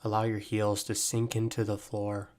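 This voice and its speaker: A man guiding a body scan meditation, giving gentle, measured instructions with long pauses. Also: on the low side, completely flat delivery. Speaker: meditation guide, mental performance coach.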